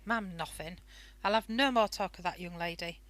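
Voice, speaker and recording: A woman's voice: on the low side, moderate pace, a bit bright, no room sound, natural voice. A woman in a radio play acting a stern, protective wartime mother, clipped and scolding. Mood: snappy, scolding. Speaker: amateur radio play actor.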